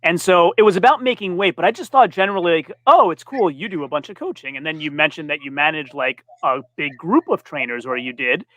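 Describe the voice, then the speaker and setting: A man's voice: on the high side, animated, fairly quick, hardly any pauses, a bit bright, no room sound. A man hosting a live-stream interview, friendly and conversational. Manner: friendly, conversational, laughs easily. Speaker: interview host.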